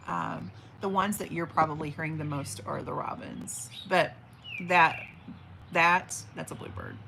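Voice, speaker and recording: Woman in her forties, bright forceful voice, lowish pitch, chatty livestream host, faint background hiss. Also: gravelly, low-quality recording. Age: 40s. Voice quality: big teacher voice. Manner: chatty and affectionate, frequent fillers and restarts. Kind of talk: casual livestream chat reading comments. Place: at home by an open window, birds outside.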